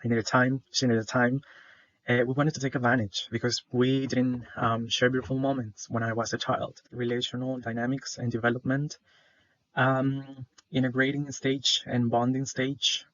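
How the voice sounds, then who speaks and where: Shy young salvadoran guy presenting for class, flat voice, lots of uhs, dull dry recording. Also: mid-range voice, moderate pace, no echo. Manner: hesitant, many fillers, shy. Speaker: student presenter.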